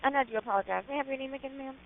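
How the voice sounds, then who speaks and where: A dispatcher with a gravelly voice asks questions quickly over a tinny phone line. The delivery is hesitant and apologetic, stumbling a little between questions. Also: sits high, very noisy. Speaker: emergency dispatcher. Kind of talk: emergency phone call.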